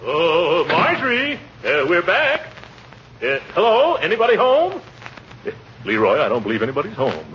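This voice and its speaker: An actor playing a pompous, easily flustered middle-aged uncle in 1940s radio comedy, blustering and stammering. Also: male voice, fairly high pitch, huge pitch swings, normal speed, fairly bright tone. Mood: jovial. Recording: noisy, muffled old radio recording.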